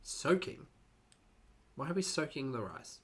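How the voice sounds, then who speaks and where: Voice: mid-range voice, big pitch swings, measured pace, noisy background. A person giving casual reaction commentary as a video host, with an exasperated, sarcastic way of critiquing what they watch.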